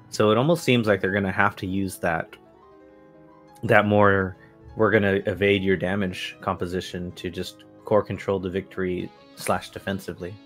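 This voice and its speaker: A man with a fairly low voice calls an esports match play by play, talking quickly and climbing into excited shouts at big moments. Faint game music sits under his close-miked voice. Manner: excitable, rising into fast hype calls. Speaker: esports caster. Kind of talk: play-by-play commentary.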